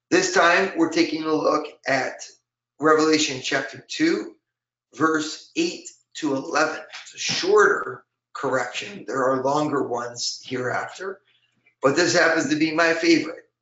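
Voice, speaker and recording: A man preaching and leading prayers for an online talk, his voice a little hoarse, speaking earnestly with many fillers and slowing down for scripture. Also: on the high side, bright, forceful tone, echoey room, highs cut off. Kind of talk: spoken teaching and prayer.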